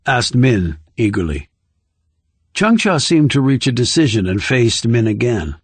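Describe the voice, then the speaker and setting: A man's voice: mid-range voice, big pitch swings, measured pace, breathy edge, no echo. A man reading a scripted thriller novel as an audiobook narrator.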